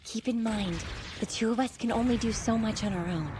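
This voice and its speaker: Woman playing a composed anime fighter, fairly high. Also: brisk, noisy background, lightly acted. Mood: quiet resolve. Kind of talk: English anime dub acting. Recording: music behind the voice.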